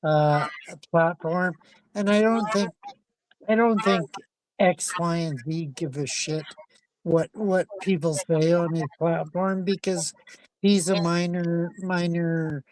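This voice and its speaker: A man on a livestream, ranting casually in a halting, repetitive, emphatic way. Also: a little high, steady tone, darkish tone, no room sound, rough recording. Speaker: livestream guest.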